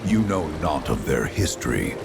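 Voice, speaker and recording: An actor playing a solemn, ancient spirit in a video game, speaking in a grave, formal, deliberate way. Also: male voice, rumbling low, big pitch swings, very noisy, full character voice. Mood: grave, ominous. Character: solemn, ancient male spirit of a lost tribe. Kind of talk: video game cutscene acting. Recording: loud music under the voice.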